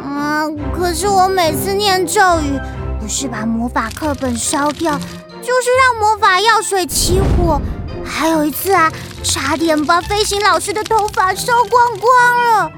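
Very high-pitched pouty little girl witch. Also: lively intonation, measured pace, over music. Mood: whiny, dejected. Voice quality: childlike. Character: little witch girl who doubts her magic, pouty. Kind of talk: children's radio play acting.

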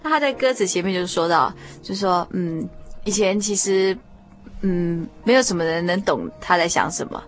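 A woman's voice: fairly low pitch, moderate pace, soft background music. A young woman with a Taiwanese Mandarin accent hosting a conversational radio interview, often chiming in with agreement. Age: young adult. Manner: frequent affirming backchannels. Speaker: radio program host.

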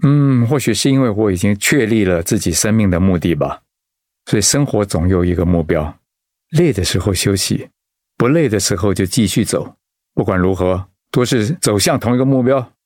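An actor with a Taiwanese accent playing a gentle, ailing pastor and husband in a radio drama, earnest and reflective. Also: male voice, fairly low pitch, expressive, moderate pace, dry recording, studio quality, close to an everyday voice. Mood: calm, earnest. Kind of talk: Mandarin radio drama acting.

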